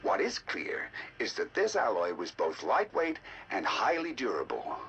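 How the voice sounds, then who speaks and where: An actor playing a learned, eager researcher, narrating game audio logs in a measured, articulate, intrigued manner. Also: male voice, fairly low pitch, huge pitch swings, a bit bright, highs cut off, slight background noise, heavily acted. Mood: measured, scholarly. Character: learned male researcher, eager and fascinated by alien ruins.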